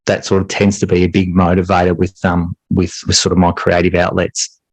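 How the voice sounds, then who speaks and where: A man answering interview questions conversationally as a podcast guest, reflective and halting as he pieces his thoughts together. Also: low-pitched, completely flat delivery, breathy edge, dry recording. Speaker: podcast guest, teacher and writer.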